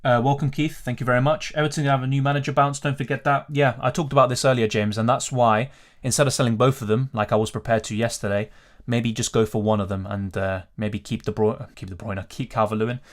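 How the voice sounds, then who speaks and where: A man's voice: mid-range voice, fairly even intonation, fast. A man from Argentina hosting a fantasy football livestream, casually reading out and answering viewer questions in a conversational, off-the-cuff way as he thinks aloud.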